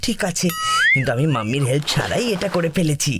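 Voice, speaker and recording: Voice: mid-range voice, animated, fast, heavy background noise, natural voice. A performer voicing a bossy, complaining older brother, a schoolboy, in a cartoon dub. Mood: self-satisfied. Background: cartoon music.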